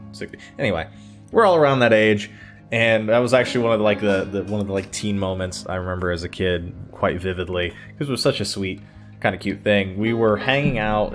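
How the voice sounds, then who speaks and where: A man telling personal stories casually to his online viewers, digressing, hesitating and circling back as he goes. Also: on the low side. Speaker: YouTube storyteller. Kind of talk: casual rambling personal storytelling.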